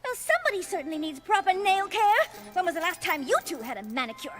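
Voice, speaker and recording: Fussy ladylike cartoon pony, very high voice, lively swoops, haughty, theatrical, refined diction. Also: fast, soft background music, heavily acted. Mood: haughty, complaining. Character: prissy, dramatic, fussy ladylike young female pony.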